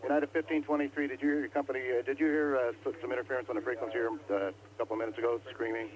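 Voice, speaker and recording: A man's voice: mid-range voice, flat delivery, racing, a crowd in the background. A man speaking over an aircraft radio in terse transmissions. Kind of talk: radio transmissions from archive recordings. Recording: noisy, staticky aviation radio.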